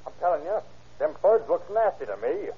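An actor playing a slangy, rough-talking man in an old-time radio crime drama, heard on a tinny vintage recording. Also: fairly high pitch, big pitch swings, a little fast, slight background noise. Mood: insistent, wary. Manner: slangy, folksy tough-guy speech.

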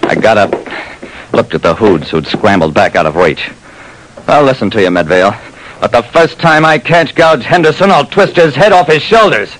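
A man's voice: medium pitch, normal speed, fairly bright tone, lightly acted. An actor playing a tough private detective narrating his case in clipped, terse 1940s radio drama style, on a noisy old recording. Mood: defiant. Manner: clipped, terse, hard-boiled.

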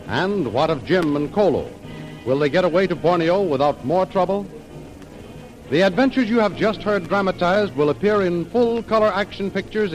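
Male announcer for an old-time radio adventure serial, slightly high voice, dramatic scripted narration over faint music. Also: poor audio.

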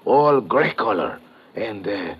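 An actor playing a shaken, humble injured fisherman in a 1950s radio drama, halting and deferential. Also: fairly low pitch, expressive, breathy edge, full character voice. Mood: hesitant, shaken. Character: shaken, humble injured fisherman, anxious about his wife. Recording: muffled old radio recording.

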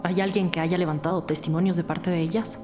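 An actress playing a decisive, dedicated lead detective in a scripted crime podcast drama. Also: female voice, on the low side, steady tone, fast talker, over music, lightly acted. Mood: businesslike.

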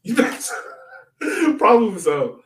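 Echoey, higher-voiced man chatting casually. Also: big pitch swings, slow pace, a little hiss or hum. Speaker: sports podcast co-host. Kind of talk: casual soccer chat. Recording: roomy echo, distant from mic.

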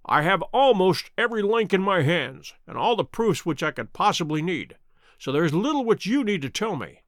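A man voicing a self-assured Victorian detective in an audiobook, measured, stern and dryly mocking. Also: sits high, big pitch swings, a bit bright, no room sound, studio quality, heavily acted. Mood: probing, accusing. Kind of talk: dramatic audiobook character reading.

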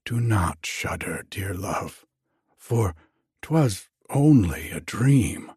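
A man's voice: fairly low pitch, animated, drawn-out words, darkish tone, husky, dry recording, clean studio sound, full character voice. A man voicing an anguished young lover in a storybook tale, pleading fearfully in archaic, tremulous speech. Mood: haunted, confiding.

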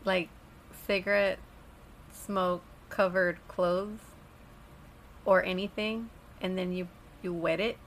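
A woman co-hosting a podcast, telling a story in relaxed, giggly chat. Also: on the low side, slow pace, pauses often, a little hiss or hum. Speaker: podcast co-host.

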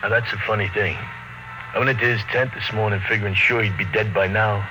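An actor playing a big, brawling, exhausted expedition crewman, gruff and slangy, in a classic radio drama. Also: on the low side, steady tone, fast, over music, close to an everyday voice. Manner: rough, slangy. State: worn out. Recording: noisy old radio recording.

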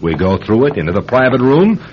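An actor playing an obsessed, reckless novelist in an old-time radio drama, speaking with insistent, cajoling intensity. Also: male voice, mid-range voice, very animated, a little fast, natural voice. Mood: fervent, pleading. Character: obsessed, reckless male novelist chasing a death experience. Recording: dull lo-fi radio recording with hiss.

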